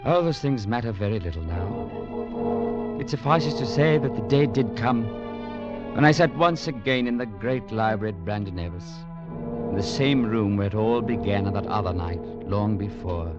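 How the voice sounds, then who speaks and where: An actor playing a grave young English legionnaire, narrating in an earnest, measured, formal way in 1940s radio drama style. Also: male voice, lowish voice, big pitch swings. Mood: somber, tense. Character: grave young English legionnaire recounting his brothers' tragedy. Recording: muffled 1940s radio recording with music.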